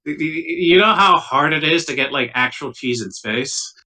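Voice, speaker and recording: A man's voice: fairly high pitch, normal speed, sharp tone, distant mic, poor audio. A man playing a tabletop game, chatting in casual, joking banter.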